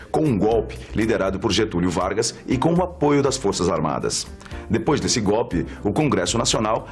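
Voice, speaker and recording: Brazilian male documentary presenter, low voice, explanatory scripted delivery over music. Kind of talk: scripted educational narration.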